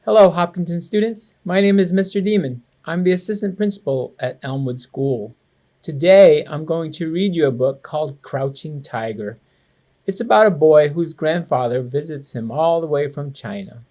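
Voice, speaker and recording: A man reading a children's storybook aloud to students with expressive, clear phrasing, like a school educator. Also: fairly high pitch, normal speed. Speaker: assistant principal reading to students.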